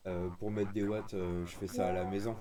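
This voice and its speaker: Guy, lowish voice, into his radio hobby, casual chat, people talking in the background. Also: little pitch movement, brisk, a crowd in the background. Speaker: radio amateur streaming a group conversation.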